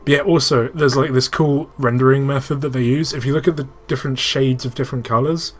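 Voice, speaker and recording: A man's voice: mid-range voice, steady tone, fast talker. A man hosting casual gaming commentary, swearing freely and explaining things with enthusiasm. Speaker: host playing and explaining a video game. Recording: clearly noisy room recording.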